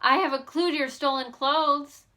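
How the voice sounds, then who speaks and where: A woman's voice: sits high, steady tone, normal speed, pushed and bright, voice pushed up. A woman voicing a keen, kindly teenage girl detective in an audiobook, eager and determined. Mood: cheerful, advising. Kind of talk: audiobook character voice.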